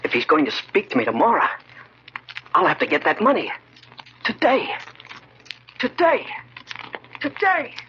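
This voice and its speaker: An actor playing a nervous, desperate man with an agitated, halting, melodramatic delivery in 1940s radio horror style. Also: sits high, wildly swooping pitch, bright, forceful tone, full character voice. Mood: tense, scheming. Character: nervous, desperate nephew plotting murder. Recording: muffled, noisy old radio recording.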